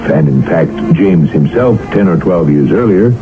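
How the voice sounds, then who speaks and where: An actor playing a measured, inquisitive doctor who narrates his own mystery in a 1970s radio drama, with calm, formal diction. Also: sits low, over loud music. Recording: narrow lo-fi old radio broadcast.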